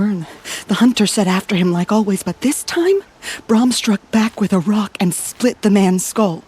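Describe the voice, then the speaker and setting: A woman's voice: mid-range voice, darkish tone, breathy edge. An actress playing an anxious, grieving tribeswoman in a video game, hesitant and earnest as she pleads. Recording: music under the voice.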